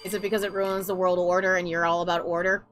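A woman's voice: fairly low pitch, flat delivery, fast talker, a bit bright, soft background music. A woman streaming a video game, ranting sarcastically and swearing at enemies as she plays. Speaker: gaming streamer. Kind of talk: ranting let's-play commentary.

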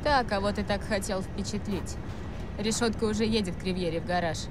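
A woman's voice: medium pitch, brisk, noisy background, close to an everyday voice. An actress playing a cool, self-assured female hacker in a racing game, with clipped, confident lines. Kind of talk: Russian game dub dialogue.

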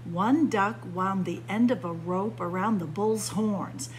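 A woman's voice: mid-range voice, slow, slight background noise. A woman reading a children's picture book aloud like a story-time librarian, expressively, with dramatic emphasis on key words.

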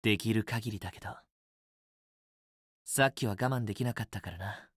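Intimate, possessive young boyfriend. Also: male voice, on the low side, moderate pace, breathy edge, no echo, clean studio sound. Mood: tender, restrained longing. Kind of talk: Japanese otome game voice acting, intimate romantic dialogue.

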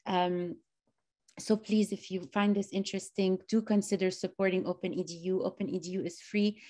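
A woman's voice: medium pitch, fairly even intonation, moderate pace, mellow. A woman in her thirties hosting a casual online talk over a video call, eager and full of fillers. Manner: enthusiastic, many fillers. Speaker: host. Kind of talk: casual interview chat. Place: small room indoors.

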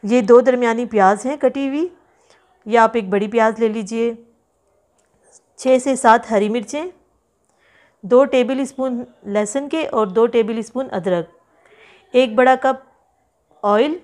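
A woman's voice: fairly high pitch, darkish tone. Chatty woman teaching a home recipe. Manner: chatty, instructive, lists ingredients slowly with repeats. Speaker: cooking channel host. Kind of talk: home cooking tutorial voice-over. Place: home kitchen.

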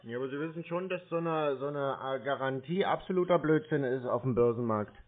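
A man's voice: mid-range voice, brisk. A man in a phone conversation, questioning a salesperson in a skeptical, probing way. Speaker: call recipient questioning a salesperson. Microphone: telephone. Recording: tinny, band-limited phone line.

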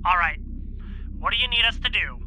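A man's voice: high-pitched, animated, brisk, bright, forceful tone, a little hiss or hum, full character voice, poor audio. A man playing a nerdy, self-important young shop clerk in an audio drama, snarky and petulant. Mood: grudging.

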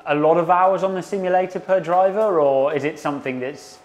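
A man's voice: fairly high pitch, fairly quick, faint music behind. A man with an inquisitive, conversational manner, interviewing his guide on a factory tour. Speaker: interviewer and commentator.

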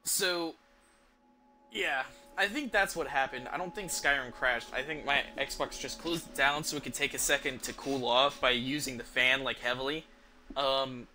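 A young man chatting casually on a game livestream, halting and hesitant, with a sweary, offhand way of talking. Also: mid-range voice, sharp tone, faint music behind. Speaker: video game streamer. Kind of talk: casual livestream chat over gameplay. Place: small indoor room at home.

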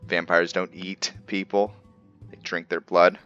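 A man giving casual video game commentary as a roleplay host, halting as he thinks aloud. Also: low-pitched, flat delivery, highs cut off. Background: game music.

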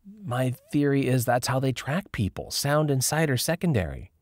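A man's voice: medium pitch, big pitch swings, moderate pace, no room sound, studio quality, pitched above the performer's own voice. A man voicing a sardonic, slightly pompous young woman writer in an audiobook. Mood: matter-of-fact.

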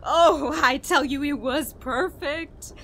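A woman's voice: high-pitched, slow, background noise. An actress playing a scheming, guilt-ridden murderous woman who confesses and argues in a radio horror drama. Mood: gloating, scheming.

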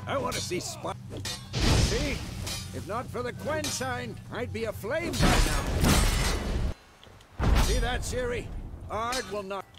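An actor playing a stern, wry older monster-hunter mentor, instructing pupils in a measured, schoolmasterly way in a fantasy video game. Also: male voice, very high-pitched, animated, loud music behind the voice, heavily acted. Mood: commanding. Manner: measured, schoolmasterly, gruffly commanding.

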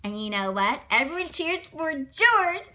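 A woman reads a children's story aloud in a fairly high, bright voice. Her delivery is very animated, swooping through the sentences and breaking off for exclamations and asides to her young listeners. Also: measured pace.

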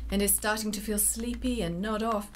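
A woman giving measured, scripted storytelling narration as part of a dramatic play. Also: mid-range voice, steady tone, noisy background. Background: music.

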